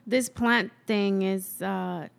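A woman's voice: medium pitch, steady tone, very slow, creaky. Chatty woman with a Dominican accent. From Dominican Republic heritage. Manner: laughs often, soft and chatty. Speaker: guest co-host. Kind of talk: casual podcast chat.

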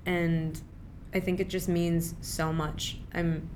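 A woman's voice: lowish voice, flat delivery, slow pace, slight background noise. A young woman from Pakistan whose native tongue is Urdu, a filmmaker guest talking casually and animatedly in an interview, with lots of fillers.

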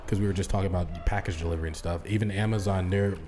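A man co-hosting a podcast, chatty and jokey in a lively way. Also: low-pitched, rapid-fire, slight background noise. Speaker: podcast co-host. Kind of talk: casual chat.

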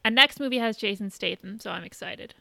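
A woman podcast co-host chatting casually in a halting, conversational way, recapping what she has been watching. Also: medium pitch, gravelly, pushed and bright. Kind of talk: casual chat, recapping shows and films.

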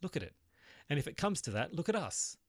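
A man's voice: a little high, sing-song, brisk, breathy edge, dry recording, voice pushed up, full character voice. Petulant young man, sarcastic and exasperated, a male narrator putting him on; dry, close sound.

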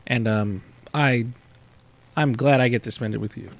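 Podcast co-host, male, thirty. Creaky, gravelly mid-range voice, laid-back, informal, swears. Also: mid-range voice, moderate pace. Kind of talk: casual podcast chat.

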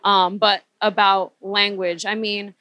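A woman's voice: medium pitch, flat delivery, slow, no echo, highs cut off. A woman who grew up speaking Spanish, talking casually and candidly about her own experience as a panelist. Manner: candid, self-reflective.

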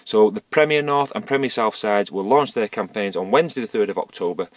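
A man who is losing his voice, reading amateur rugby league results and fixtures in a steady, list-like way. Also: on the low side, a little fast, dry recording.

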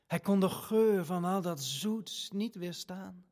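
High-voiced man telling a fairytale dramatically. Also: a little mellow. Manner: dramatic, with deliberate pauses and hesitations. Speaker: storyteller in a family radio play. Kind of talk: theatrical fairytale narration. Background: music.

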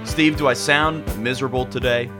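A man's voice: mid-range voice, steady tone, a little fast, music in the background. An American man hosting casual sports talk radio, congested with a head cold.

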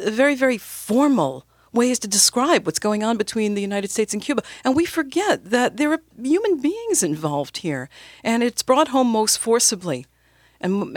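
A woman who works as a radio newscaster, speaking conversationally in an interview with expressive, theatrical, emphatic phrasing. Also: mid-range voice, dry recording, studio quality.

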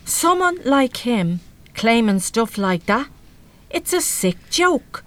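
Older Irish woman, fairly high voice, blunt, rough-spoken, protective, radio play. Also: sing-song, slow pace. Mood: indignant. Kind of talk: live radio drama acting.

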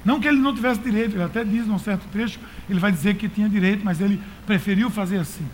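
A Brazilian man with a high-pitched voice delivers a conversational sermon. He exhorts his listeners in everyday language and repeats phrases to drive them home. Light noise sits behind his voice. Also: racing. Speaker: pastor.

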